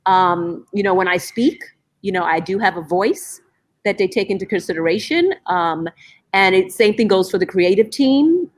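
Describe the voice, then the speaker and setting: A woman's voice: mid-range voice, expressive, normal speed. A middle-aged woman from Brooklyn, a stage manager, chatting as a guest over a video call and leaning on fillers as she talks. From Brooklyn, New York, USA. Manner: conversational, leans on "you know" and "um". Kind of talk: casual interview chat.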